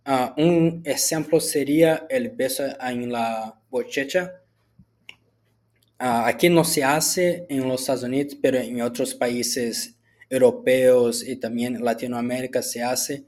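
A man with a Brazilian Portuguese accent, a student whose first language is Portuguese, works through prepared questions in a slow, unhurried delivery. His pitch sits in an ordinary middle range. He often breaks off mid-word, restarts, and fills gaps with "ah". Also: steady tone, darkish tone. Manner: halting, with frequent restarts and filler "ah". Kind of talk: answering set questions in a spoken school assignment.

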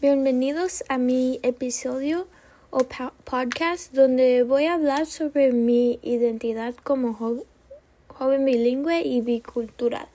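Slow, soft-spoken young American woman. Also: sits high, fairly even intonation. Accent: American English. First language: English. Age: young adult. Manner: slow, careful delivery with small self-corrections. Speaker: student podcast host. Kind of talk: class podcast monologue.

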